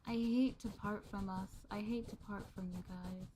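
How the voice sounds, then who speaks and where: Soft-spoken American woman, maid roleplay. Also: medium pitch, steady tone, moderate pace, slight background noise. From United States. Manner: soft-spoken, calls listeners master, speaks of herself as the maid. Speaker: streamer. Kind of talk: ASMR maid roleplay stream chat. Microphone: close ASMR microphone.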